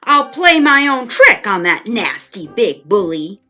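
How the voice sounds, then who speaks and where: A woman's voice: a little high, very animated, unhurried, bright, forceful tone, soft background music, heavily acted. A woman voicing a shrewd, feisty old granny in a children's storybook, speaking emphatically. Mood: crafty.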